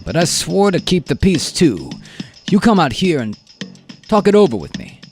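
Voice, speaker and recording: Man acting out a nervous, higher-voiced young officer. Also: big pitch swings, moderate pace, darkish tone, faint music behind. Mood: anxious, earnest. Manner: hesitant, stammering. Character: nervous young male junior officer, stammering, stalling, earnest. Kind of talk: live science fiction radio drama acting.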